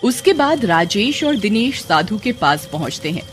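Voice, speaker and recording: A storyteller narrates a spooky cartoon tale with lively, wide-ranging intonation. Clear music plays underneath the voice, and the pitch sits at a middle level. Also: normal speed. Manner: animated storytelling.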